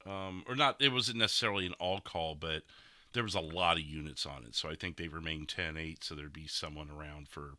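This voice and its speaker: A man's voice: rumbling low, a little fast, pushed and bright, no room sound, studio quality. A man hosting a casual livestream discussion, explaining and commenting in a conversational way. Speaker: host, livestreamer.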